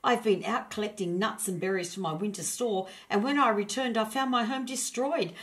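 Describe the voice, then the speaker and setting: A woman's voice: medium pitch, moderate pace, fairly bright tone, voice pushed up, natural voice. A woman voicing a timid little male storybook hedgehog with a wobbly, stammering, pleading voice. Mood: sad, shaken. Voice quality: wobbly, quavering.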